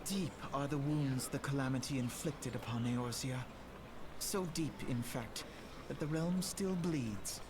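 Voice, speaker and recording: A man playing a self-assured young noble brother in a fantasy game, speaking in lofty, measured, formal phrases. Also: mid-range voice, background noise, natural voice. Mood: grave, lofty. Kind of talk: scripted fantasy game cutscene acting.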